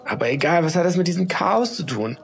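A man's voice: a little high, big pitch swings, fast, vocal fry, soft background music, pitched above the performer's own voice. A man doing a flustered fussy mother. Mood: exasperated. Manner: exasperated, stammering. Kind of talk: storybook read-aloud.

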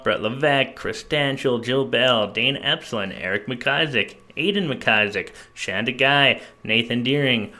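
A man's voice: medium pitch, sharp tone. A young man co-hosting a casual podcast, talking in an upbeat, joking way. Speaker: podcast co-host.

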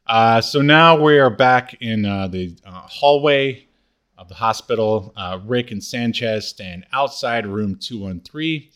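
A man's voice: mid-range voice, measured pace, fairly bright tone, clean tone. A man co-hosting a podcast, casually recapping a show in a laid-back, hesitant way. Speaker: podcast co-host.

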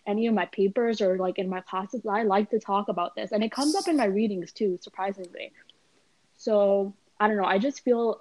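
A woman's voice: medium pitch, no echo, dull audio. A young woman, a student, in a casual conversation, talking in a chatty way full of "like" and "you know.". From Canada.

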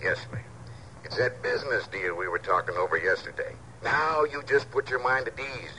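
Bright, lively folksy swindler. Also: male voice, mid-range voice, normal speed, poor audio. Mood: falsely sympathetic. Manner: folksy, ingratiating. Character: smooth-talking folksy swindler feigning kindly friendship. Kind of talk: 1950s radio drama acting. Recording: old radio recording, faint hiss.

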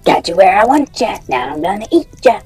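A podcast host voicing a gross monkey that taunts from a closet in a quick sing-song chant, reading a campfire story. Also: mid-range voice, very animated, darkish tone, husky, soft background music. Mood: taunting.

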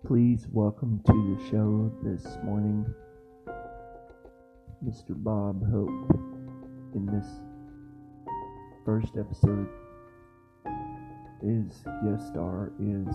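A man hosting a podcast, reading an introduction in a slow, halting way. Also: fairly low pitch. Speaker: podcast host. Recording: muffled, phone-like, loud music behind.

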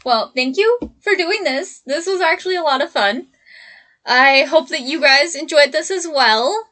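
A woman's voice: high voice, expressive, a little slow, sharp tone. A young adult woman co-hosting a podcast, chatting animatedly and laughing often. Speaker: podcast co-host. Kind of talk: casual podcast chat.

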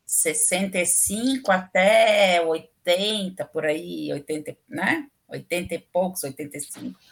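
A woman's voice: on the low side, unhurried, gravelly. Chatty middle-aged southern Brazilian woman. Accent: southern Brazilian Portuguese. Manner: chatty, opinionated, many fillers. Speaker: civil engineering professor, guest. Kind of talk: casual interview talk.

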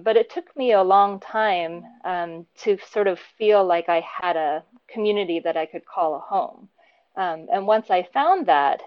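A woman in her thirties answers interview questions at an easy pace, pausing often on "um" and laughing lightly as she thinks. Her voice sits at a middle pitch. The recording is dry and a little dull. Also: dry recording. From born in Japan, raised between the US and Japan. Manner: warm, thoughtful, frequent ums and light laughs. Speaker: interview guest, program teacher.

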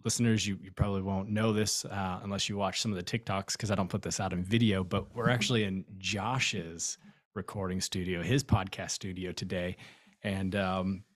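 A man's voice: sits low, steady tone, normal speed, dry recording. A man hosting a podcast, talking in a friendly, loose way with lots of fillers, recorded in a podcast studio. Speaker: podcast host. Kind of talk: casual interview chat.

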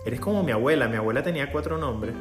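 Host conversador en sala con eco. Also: male voice, mid-range voice, lively intonation, quick pace. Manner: chatty, effusive, joking. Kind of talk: casual live interview. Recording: roomy sound with guitar music behind. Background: guitar music.

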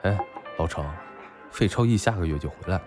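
An actor playing a brash, bantering, foul-mouthed bar owner and loyal buddy in a Chinese audio drama. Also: male voice, low-pitched, fairly quick, music in the background, close to an everyday voice.

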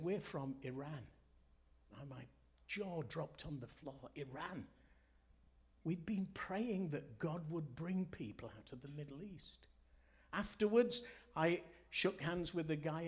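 A man preaching a Sunday sermon and telling stories, earnest and deliberate, with emphatic phrasing and dramatic pauses. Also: on the high side. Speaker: guest preacher, former pastor. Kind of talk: Sunday morning sermon, storytelling.

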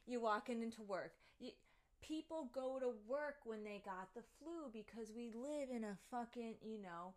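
A woman's voice: on the high side, darkish tone. A young adult woman ranting casually to her viewers, exasperated, sarcastic and full of swearing.

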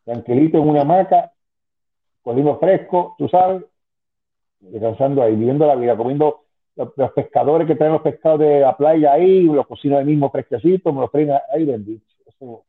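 A man's voice: on the high side, brisk. Man in his fifties on a tinny phone line. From Spanish-speaking, moved to the US at ten. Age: 50s. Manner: rambling, many restarts and "tú sabes". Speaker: guest, former wrestling manager. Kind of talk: casual interview on a call.

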